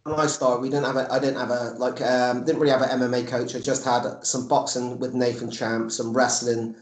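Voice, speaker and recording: A man's voice: mid-range voice, level tone, fairly quick. A man in his forties with an English accent, chatting casually as a podcast guest in a rambling, repetitive, blunt way. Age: 40s. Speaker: podcast guest, MMA coach and fighter. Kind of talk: casual chat. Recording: echoey room.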